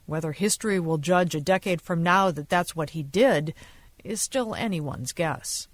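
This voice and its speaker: A woman's voice: lowish voice, measured pace, dry recording. A woman correspondent narrating a scripted radio news report. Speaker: foreign correspondent.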